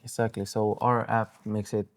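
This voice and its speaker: A man's voice: lowish voice, little pitch movement, normal speed. A teenage boy student answering hesitantly, with frequent fillers. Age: teens.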